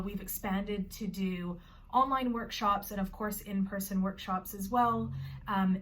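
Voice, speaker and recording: A young woman with a Canadian accent talks to camera from her bedroom, casual and explanatory, dropping in "uh" and "so" as she goes. Her voice sits in a middle register, and faint background noise runs under it. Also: mid-range voice. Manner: friendly, explanatory, loose with filler words. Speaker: vlogger and organization founder. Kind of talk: casual talking-to-camera vlog. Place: own bedroom.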